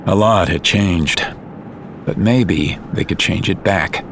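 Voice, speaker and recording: A man narrating a scripted audio drama in a serious, measured storytelling manner. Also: sits low, gravelly, fairly bright tone, slight background noise.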